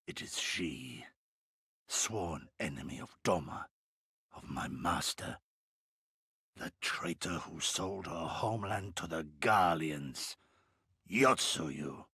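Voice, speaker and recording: A grim male warrior in a video game. His voice is very deep, rough and forceful, and he speaks gravely, with bitterness. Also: lively intonation, takes it slowly, long pauses, dry recording, clean studio sound, heavily acted. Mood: grim loathing. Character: grim, bitter warrior denouncing his master's sworn enemy. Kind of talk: video game cutscene acting.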